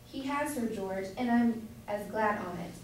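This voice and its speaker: A woman's voice: medium pitch, unhurried, close to an everyday voice. A woman playing a chatty, opinionated citizen's wife in an amateur staged reading of an old English comedy. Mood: approving. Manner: chatty, bossy, archaic English. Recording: very echoey room, distant mic, faint noise.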